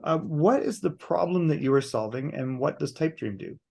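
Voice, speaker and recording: A man hosts a podcast interview, chatty and quick to affirm his guest. His voice sits fairly high for a man and has a gravelly, creaky texture. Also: expressive, a little mellow. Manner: chatty, affirming, laughs easily. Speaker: podcast host. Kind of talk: casual interview hosting.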